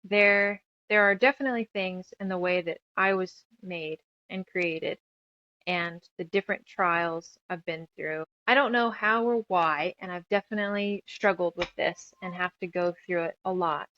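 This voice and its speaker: A woman from New Mexico speaking as a guest in a casual interview, earnest and emphatic, with halting phrasing. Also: lowish voice, dry recording, dull audio. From New Mexico, United States. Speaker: interview guest, author and radio show producer. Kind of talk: casual interview conversation, personal reflection.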